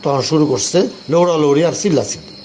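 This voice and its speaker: A man's voice: fairly high pitch, quick pace, a little mellow, a little hiss or hum, lightly acted, rough recording. A man playing a bossy, self-important building watchman in an audio drama, talking in colloquial Dhaka Bangladeshi speech. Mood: deferential, explaining. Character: bossy building night watchman, blustering at underlings, deferential to superiors.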